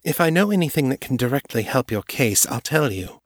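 A man's voice: medium pitch, very animated, brisk, dry recording, voice pushed up. A man voicing a poised female vampire doctor who speaks dryly and with wry irony. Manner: dry and wry, ironic.

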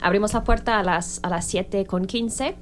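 A woman's voice: mid-range voice, normal speed. A woman from the theater group announcing show details hesitantly, with small laughs and fillers.

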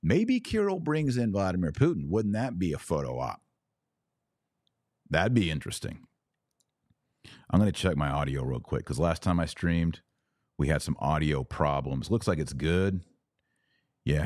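A man's voice: extremely low pitch, very animated, a little slow, pauses often, a little mellow, dry recording, clean studio sound. A man with an American accent hosting a podcast, giving casual, conversational solo commentary with wry, informal asides. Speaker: podcast host and religious commentator.

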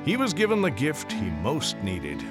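A man narrating a Western radio story as a folksy, measured storyteller. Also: mid-range voice, wildly swooping pitch, music in the background. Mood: warm, reflective. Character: storyteller narrator of a warm Western Christmas tale.

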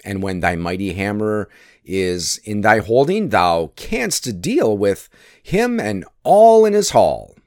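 A man's voice: on the low side, sing-song, slow, darkish tone, dry recording, studio quality, heavily acted. A man voicing a cunning, mocking trickster god from a myth, teasing and coaxing as he schemes. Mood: sly persuasion. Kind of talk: dramatic myth storytelling.

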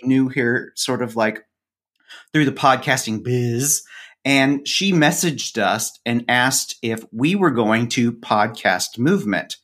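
A man's voice: mid-range voice, slow pace, a bit bright. A man speaking casually as an interviewed podcast co-host, with a wry, dryly humorous way of talking.

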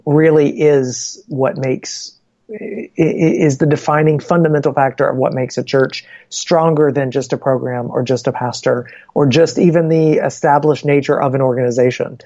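A male interview guest speaks in an earnest, measured way and builds his explanations point by point. The recording sounds slightly dull and lo-fi, and the close, dry sound has no room echo. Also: mid-range voice, dark tone. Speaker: guest, Bible publishing ministry representative.

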